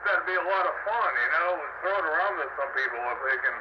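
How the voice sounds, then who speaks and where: A man's voice: on the high side, animated, fast talker. A man calling in by radio, chatting casually in an easygoing, folksy way. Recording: muffled radio transmission with clear noise.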